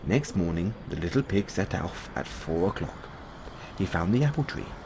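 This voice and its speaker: Lively low-voiced man reading bedtime stories. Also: normal speed, background noise. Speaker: audio roleplay performer. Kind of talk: bedtime storytelling.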